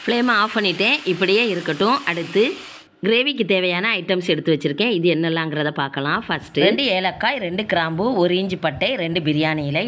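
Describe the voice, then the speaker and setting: Female home cook, southern Tamil Nadu accent, slightly low pitch, plain colloquial instruction for a cooking tutorial. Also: a bit bright, slight background noise. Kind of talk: step-by-step recipe narration.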